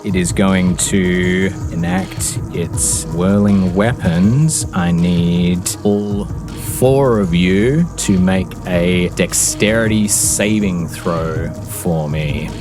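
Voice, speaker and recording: A man's voice: low-pitched, drawn-out words. A man narrating a tabletop game as game master, in a theatrical, suspenseful storytelling manner. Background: background music.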